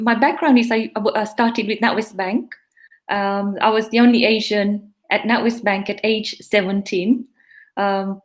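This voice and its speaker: A middle-aged woman from East Africa with a mixed East African and English accent, talking earnestly and reflectively as a guest in a casual interview. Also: medium pitch. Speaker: guest, pharmacy owner.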